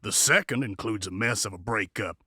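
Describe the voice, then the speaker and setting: A man playing a quiet, world-weary western cynic in an audio drama, terse and sardonic. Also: fairly low pitch, moderate pace, breathy edge, no room sound, clean studio sound. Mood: jaded.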